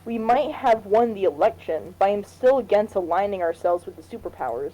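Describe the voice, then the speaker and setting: A woman's voice: medium pitch, lively intonation, a little fast, mellow, natural voice, poor audio. A woman voicing a firm, cautious cabinet minister in a fan reading of a political game, formal and insistent. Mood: firm, cautioning. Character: firm, cautious female cabinet minister defending state planning. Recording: music behind the voice.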